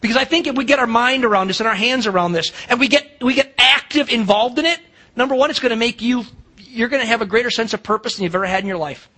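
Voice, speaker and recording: A man's voice: extremely high pitch, fast talker, bright, forceful tone. A middle-aged man with an American accent preaching a sermon as a pastor, emphatic, posing rhetorical questions and acting out dialogue. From United States.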